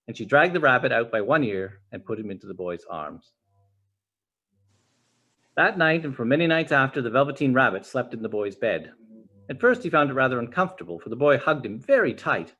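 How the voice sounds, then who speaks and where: A man narrates a storybook in an expressive read-aloud style. His voice sits at a middling pitch and moves up and down a great deal as he tells the tale. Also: breathy edge. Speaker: storybook reader.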